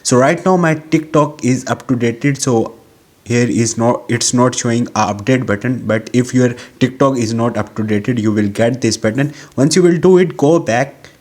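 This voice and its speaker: A man's voice: mid-range voice, normal speed, a little mellow. A man hosting a how-to tutorial, giving instructions step by step in a narrating style. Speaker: tutorial channel host.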